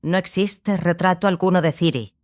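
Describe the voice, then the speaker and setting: A woman playing a stern, obsessive scholarly sorceress in a dramatized audiobook, speaking gravely and deliberately, with pauses. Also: on the low side, a little mellow, lightly acted. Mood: instructive.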